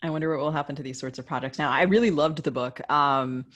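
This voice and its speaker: A woman's voice: low-pitched, fast talker, fairly bright tone. A woman with an American accent co-hosting a podcast, asking questions in a thoughtful, conversational way. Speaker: podcast co-host. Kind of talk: casual interview questions.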